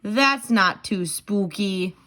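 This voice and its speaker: A woman's voice: mid-range voice, takes it slowly, pushed and bright. A woman voicing a cheerful, easygoing young cat boy from a children's storybook. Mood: relieved.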